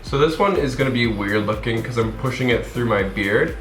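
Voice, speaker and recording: A man's voice: medium pitch, fairly quick, music in the background. Young male vlogger with a blocked nose, casual and rambling, recorded in an echoey room. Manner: chatty, rambling, many fillers. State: stuffed up from a cold. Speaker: makeup vlogger. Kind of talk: casual tutorial talk. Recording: roomy echo.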